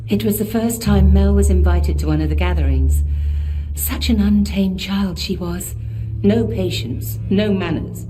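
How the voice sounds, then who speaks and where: A woman playing a composed elf of authority in a video game, measured, formal and faintly disdainful. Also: medium pitch, music in the background, lightly acted, low-quality recording. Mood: wistful. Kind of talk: video game character acting.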